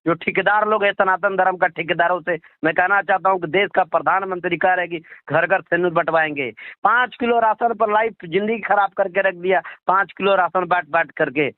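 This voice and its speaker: A man's voice: high voice, brisk, hardly any pauses, no echo. An Indian man, speaking indignantly in a political phone interview, repeating himself and posing rhetorical questions. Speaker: political activist being interviewed. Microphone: phone call. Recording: muffled lo-fi phone call recording.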